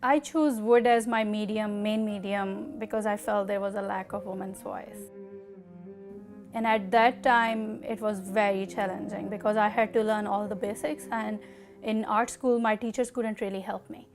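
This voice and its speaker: A middle-aged woman with a Pakistani accent, telling her own story in an interview in a measured, deliberate way with pauses. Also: medium pitch, steady tone, over music. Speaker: artist interviewee.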